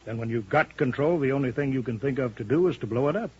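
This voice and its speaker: An actor playing a cool, commanding male chief in a 1950s radio drama, speaking deliberately and with authority. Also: mid-range voice, breathy edge. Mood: taunting. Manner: authoritative, calmly needling, deliberate. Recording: dull muffled 1950 radio broadcast.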